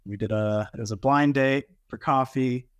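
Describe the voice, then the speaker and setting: Relaxed male guest, dry close sound. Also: mid-range voice, moderate pace, clean tone, no echo, highs cut off. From New Jersey, US. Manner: relaxed, filler-heavy storytelling. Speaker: interview guest. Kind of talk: casual interview chat.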